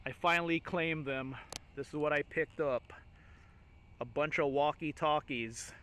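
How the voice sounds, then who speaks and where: A man's voice: on the high side, fairly bright tone, smooth. A man chatting casually and enthusiastically as a vlogger, in an informal way. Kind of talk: casual chat to camera.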